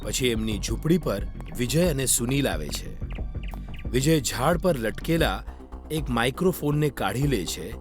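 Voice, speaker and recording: Lively male children's story narrator. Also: mid-range voice, a little slow. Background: faint music.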